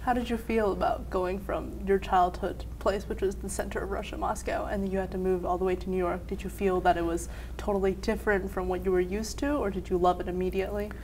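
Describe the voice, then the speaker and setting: A woman's voice: mid-range voice, level tone, fast, hardly any pauses, darkish tone, slight background noise, low-quality recording. A woman hosting a television talk show, asking a guest questions with open admiration. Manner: admiring, attentive interviewer. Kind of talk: interview questions.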